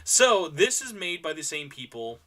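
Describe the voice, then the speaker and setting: A man's voice: on the high side, big pitch swings, moderate pace, fairly bright tone. A man giving an enthusiastic, informal panel talk full of recommendations, stressing points by repeating words and using casual slang. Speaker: convention panel presenter.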